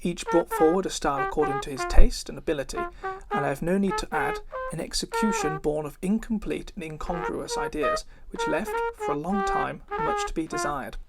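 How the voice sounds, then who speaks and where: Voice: mid-range voice, huge pitch swings, brisk, hardly any pauses, over loud music, poor audio. A person with a female-sounding voice reads an old, formal book introduction aloud, occasionally stumbling over words. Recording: cornet playing over the voice. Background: live brass cornet playing.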